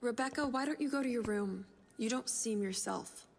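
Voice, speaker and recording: Reverberant, coolly controlling nurse. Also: female voice, on the high side, normal speed. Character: coolly controlling institute nurse, menacingly calm. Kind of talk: horror audio drama acting. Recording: long reverb, clear background noise.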